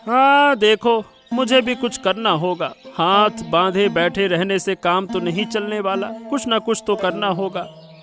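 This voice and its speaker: A man's voice: high voice, a little slow. A man playing a poor, curious younger village neighbour in a Hindi cartoon story, talking in a chatty, rustic way. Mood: downcast. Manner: folksy, rustic, chatty delivery. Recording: music playing behind the voice.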